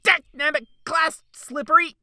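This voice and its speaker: A man's voice: very high voice, unhurried, pushed and bright, dry recording, clean studio sound, full character voice. A man playing a goofy, eager but weary doctor in a theatrical, exclamatory way for a game fan dub. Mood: exasperated.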